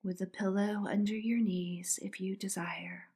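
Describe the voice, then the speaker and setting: A woman softly narrating a guided meditation in a soothing, breathy manner. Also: medium pitch, fairly even intonation, unhurried. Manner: soft, breathy, soothing delivery.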